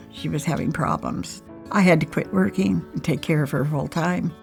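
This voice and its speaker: A woman's voice: on the low side, gravelly. An older woman, a homeowner, telling her story in a plain-spoken, heartfelt testimonial. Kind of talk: personal testimonial.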